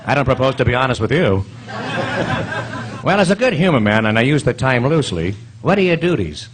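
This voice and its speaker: An actor playing a wisecracking, leering comedian who hosts a radio quiz, with sly, teasing banter. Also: male voice, medium pitch, big pitch swings, a little fast, background noise, natural voice. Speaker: quiz show host. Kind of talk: live re-creation of old radio comedy quiz.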